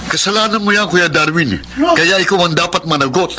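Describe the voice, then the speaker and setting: An actor playing a lovelorn, stubborn younger brother in a radio melodrama, with earnest, dramatic delivery. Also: male voice, high-pitched, a little fast, slight background noise. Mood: indignant. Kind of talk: Filipino radio melodrama acting and narration. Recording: loud music bed under the voice.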